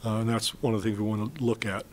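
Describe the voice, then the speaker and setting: An older man, a basketball head coach, answering reporters after a game in a press conference room at a desk mic, measured and plain-spoken with frequent fillers and restarts. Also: lowish voice, level tone, darkish tone, a little hiss or hum. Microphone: desk mic on stand.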